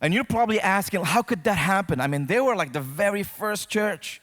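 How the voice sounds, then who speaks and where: Higher-voiced male preacher in a reverberant hall. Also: animated, brisk, fairly bright tone. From Morocco. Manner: conversational, addresses listeners directly with check-in questions. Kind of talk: sermon to a congregation. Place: church auditorium, speaking to a congregation.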